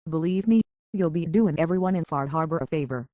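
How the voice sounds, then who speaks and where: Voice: mid-range voice, fairly quick, no echo, phone-quality sound, close to an everyday voice. An actor playing a plainspoken, guarded survivor from a harbor town in a video game, talking in a terse, folksy way. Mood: businesslike, resolute. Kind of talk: video game character acting.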